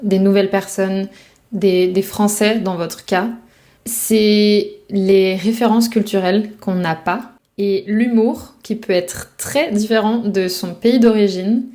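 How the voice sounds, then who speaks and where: A woman's voice: medium pitch, fairly even intonation, slow pace, dark tone. A woman from France, a language coach, talking to the viewer in a reflective, casual way about life abroad. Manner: reflective, speaks directly to the viewer, casual fillers. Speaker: French speaking coach. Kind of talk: advice talk to camera.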